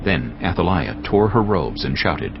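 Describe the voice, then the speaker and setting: Deep solemn male scripture narrator. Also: very animated, lo-fi sound, over music. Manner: measured, solemn reading.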